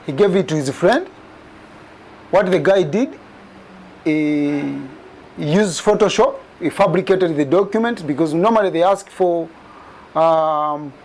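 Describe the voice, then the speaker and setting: A man in his forties gives a spoken testimony. His voice sits fairly high, and he leans on key details by repeating them and lifting his pitch. A faint background hum runs under his speech. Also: darkish tone. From Uganda. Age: 40s. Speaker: immigrant telling a story. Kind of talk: personal testimony.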